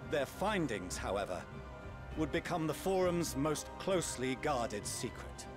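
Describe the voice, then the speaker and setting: Earnest game statesman: formal, deliberate storytelling, very varied intonation, clear music bed. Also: on the high side, big pitch swings. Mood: grave revelation. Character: dignified, earnest male scholar-statesman revealing a grave secret. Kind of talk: formal fantasy game exposition.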